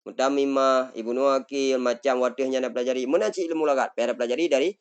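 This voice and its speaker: A middle-aged man with an Acehnese accent, a religious teacher who reads Arabic phrases slowly and then explains them in a study lesson. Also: mid-range voice, steady tone, smooth, highs cut off.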